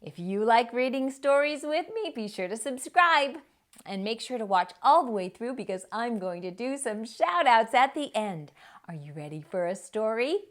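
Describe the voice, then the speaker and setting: A woman hosting a children's story time, addressing young viewers directly in a scripted way. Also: fairly high pitch.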